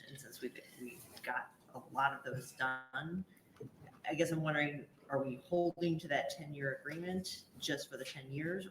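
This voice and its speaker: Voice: mid-range voice, a bit bright, slight background noise. Senator asking questions in an echoey room. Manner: polite, hesitant, searching for words. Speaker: state senator. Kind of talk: committee questioning. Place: legislative hearing room.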